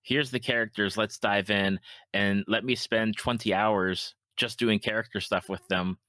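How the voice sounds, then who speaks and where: A man's voice: on the low side, steady tone, unhurried, bright, forceful tone, dry recording. A man hosting a casual podcast chat, talking enthusiastically and repeating words for emphasis. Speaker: podcast host.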